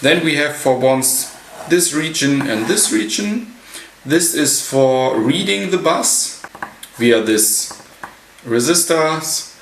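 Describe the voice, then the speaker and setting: A man giving an unscripted technical walkthrough as a hobbyist presenter, halting and pausing mid-sentence. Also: on the high side, lively intonation, fairly bright tone. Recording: roomy echo, light background noise.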